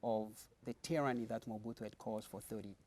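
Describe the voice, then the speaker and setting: A man's voice: lowish voice, fairly even intonation, a little fast, a little mellow. A middle-aged man from the Democratic Republic of the Congo, a pastor, answering studio interview questions in an earnest, explanatory way, with many fillers and restarts.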